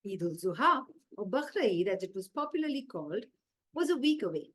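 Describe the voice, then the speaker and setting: A woman with an Indian accent reading a children's story aloud in a measured, expressive way, with dramatic emphasis and pauses. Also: medium pitch, slight background noise.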